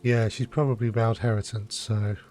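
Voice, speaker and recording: A man's voice: lowish voice, steady tone, moderate pace, a little mellow, soft background music. A British man giving casual gameplay commentary and reading out dialogue choices as he streams. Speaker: game streamer.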